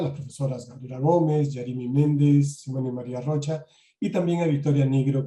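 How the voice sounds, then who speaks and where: Guy hosting a zoom seminar, deep warm voice, roomy echo, calm and polite with lots of ums. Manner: measured, polite, with frequent "eh" fillers. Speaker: seminar moderator. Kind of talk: moderating an online seminar. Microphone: video call.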